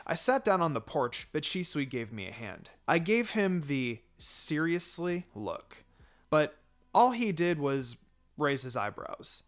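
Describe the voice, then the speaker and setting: A man narrating a story in the first person in an expressive, dramatised way. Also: fairly high pitch, a little slow. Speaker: fan fiction reader. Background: background music.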